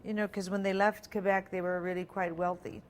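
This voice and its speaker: A middle-aged woman, French her first language, talks as a guest in a casual interview. Her speech wanders and restarts, full of ums and easy laughter, at an ordinary middle pitch. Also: little pitch movement, brisk, slight background noise. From Alberta, Canada. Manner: chatty, many ums and restarts, laughs easily. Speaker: singer-songwriter guest.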